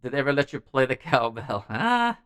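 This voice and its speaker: Crisp-voiced man chatting casually. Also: medium pitch, very animated, brisk, a bit bright, no room sound, studio quality. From Norway. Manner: rambling, reading and answering viewers' messages. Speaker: music show host. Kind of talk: casual livestream chat.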